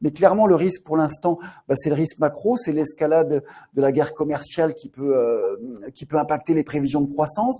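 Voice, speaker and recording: A man with a Marseille accent giving market commentary on a webinar, halting often, restarting and repeating words as he explains. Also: on the high side, warm tone. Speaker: fund manager.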